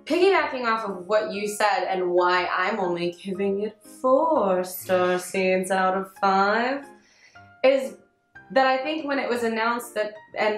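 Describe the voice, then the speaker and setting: A woman co-hosting a casual review chat, talking informally and hedging as she goes. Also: mid-range voice. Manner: informal, hedging, frequent "like" and "I mean". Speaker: review show co-host. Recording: roomy echo with faint music.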